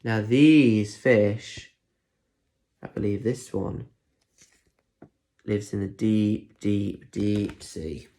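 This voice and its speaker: A man's voice: lowish voice, extremely slow, pauses often, mellow. A man reading a picture book aloud to children with lots of expression, breaking off for chatty asides and questions. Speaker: primary school teacher reading aloud.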